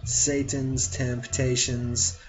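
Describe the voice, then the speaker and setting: A man reading religious teachings aloud in a deliberate way, broken into short phrases. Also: mid-range voice, level tone, a little mellow, slight background noise. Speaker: reader. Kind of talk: slow read-aloud of religious teachings with brief asides. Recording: dull lo-fi, faint music behind.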